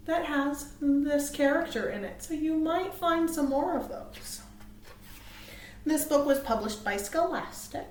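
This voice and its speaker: A woman reading aloud to small children as a storytime host, cheerful and expressive. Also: high-pitched, slight background noise. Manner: cheerful, expressive, asks listeners questions. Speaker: children's librarian hosting storytime. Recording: roomy, echoey sound.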